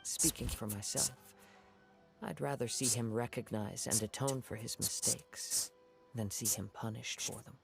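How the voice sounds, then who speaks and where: A man voicing a calm, poetic young wandering swordsman in a video game, soft-spoken and formal. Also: medium pitch, unhurried, vocal fry, music in the background.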